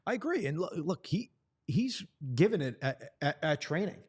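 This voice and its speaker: A man's voice: on the high side, sing-song, moderate pace, husky. A man who works as a play-by-play announcer, talking earnestly and supportively about players in a sports conversation.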